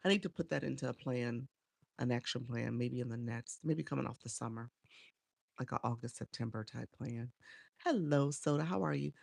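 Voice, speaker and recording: Congested, gravelly woman livestreaming. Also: a bass voice, a little mellow, no echo, clean studio sound. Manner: chatty and encouraging, greets viewers by name. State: congested, a little nasal from a cold. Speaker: live streaming coach. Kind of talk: casual livestream chat with viewers.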